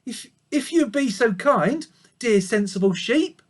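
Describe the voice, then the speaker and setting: A man's voice: very high-pitched, animated, unhurried, pitched above the performer's own voice, exaggerated voice. A man voicing a small, brave, polite young piglet in a storybook read aloud, eager and breathless. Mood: pleading.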